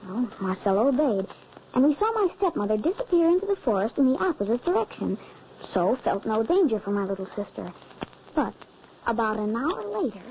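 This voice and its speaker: A woman's voice: on the high side, big pitch swings, fairly quick. A woman playing a frightened, grieving young farm boy with trembling emotion in a 1940s radio drama. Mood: haunted, narrating. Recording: muffled, noisy 1940s radio recording.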